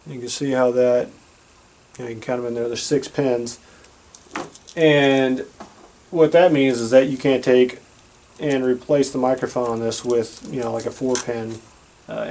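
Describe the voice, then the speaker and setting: A man's voice: medium pitch, warm tone, a little hiss or hum, rough recording. A man giving a casual, plain-spoken and explanatory product demonstration.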